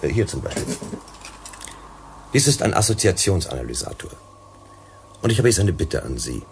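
An actor playing an earnest, persuasive psychologist in a German sci-fi radio drama, explaining and pleading. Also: male voice, sits low, very animated, normal speed, slight background noise. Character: earnest, persuasive male company psychologist and negotiator.

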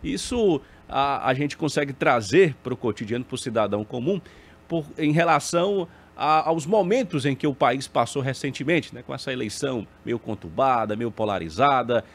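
A man's voice: mid-range voice, expressive, moderate pace. A man hosting a morning TV news program from a studio, introducing and questioning a guest in a conversational way with tag questions. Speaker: TV news host.

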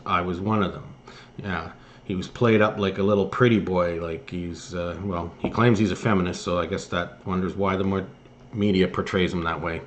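Man, lowish voice, political commentary host, reading articles aloud, occasional stumbles.

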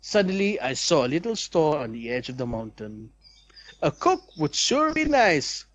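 A man's voice: fairly high pitch, sing-song, normal speed, fairly bright tone, no room sound. A young man reads a visual novel aloud as a let's play host, with dramatic expression and asides.

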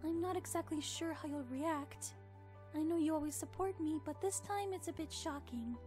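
A woman voicing a timid, earnest young cartoon pony princess, gentle, polite and a little hesitant. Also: sits high, normal speed, natural voice. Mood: hesitant, confiding. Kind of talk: animated fan drama acting. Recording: music under the voice.

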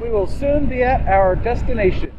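A high-voiced man chats easily as he rides, calling out the roads and turns. His voice comes through a dull, muffled helmet mic with heavy wind and engine roar behind. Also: moderate pace. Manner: relaxed, chatty, narrating the road as he rides. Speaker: motorcycle vlogger. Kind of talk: casual ride commentary. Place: outdoors on a moving motorcycle. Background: motorcycle engine and traffic.

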